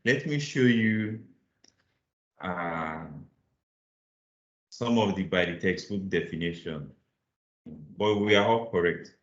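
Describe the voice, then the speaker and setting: A man's voice: deep voice, slow pace, long pauses. A man from Nigeria teaching a live online finance class, patiently encouraging answers and reading definitions aloud over a video call. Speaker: financial modeling instructor. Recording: roomy, slightly muffled call audio.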